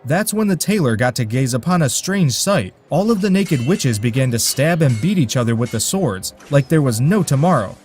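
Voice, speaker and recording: A man's voice: medium pitch, expressive, moderate pace, hardly any pauses, a little mellow, soft background music. Wry male folk tale narrator. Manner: dry, wry storytelling with asides to listeners. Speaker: storyteller.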